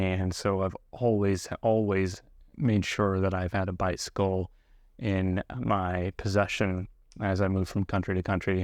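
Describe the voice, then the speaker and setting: A middle-aged man talking reflectively and casually to viewers as a video host, unhurried and often pausing mid-sentence. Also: on the low side, no room sound, clean studio sound. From grew up partly in South Korea, living in Canada.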